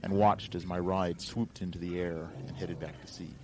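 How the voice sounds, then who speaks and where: A man's voice: low-pitched, little pitch movement, moderate pace. A man reading a scripted first-person story with a wry delivery, on a noisy amateur recording.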